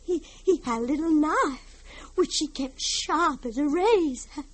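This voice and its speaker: A woman's voice: high-pitched, expressive. An actress playing a haunted, fragile older mother in an old-time radio drama, theatrical, pleading and unhinged. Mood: unhinged, morbid. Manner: theatrical, wheedling, drawn-out pleading.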